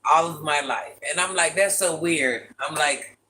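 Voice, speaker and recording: An older woman with a very deep voice, hosting a casual livestream, reading viewer comments and playfully trash-talking. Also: a little slow, sharp tone. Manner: playful trash talk, sassy asides. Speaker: livestream host.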